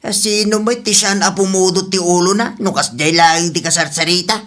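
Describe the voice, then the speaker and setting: A woman's voice: fairly low pitch, fairly even intonation, normal speed, a bit bright, lightly acted. A woman voicing a grumpy, envious older uncle in a radio drama, complaining in a grumbling way. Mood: irritated. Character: grumpy, envious older uncle fuming about business rivals.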